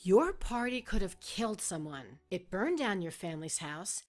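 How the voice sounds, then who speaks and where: A woman's voice: lowish voice, big pitch swings, moderate pace. An actress playing a middle-aged woman, earnest and reproachful, whose mother is dying. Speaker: actor.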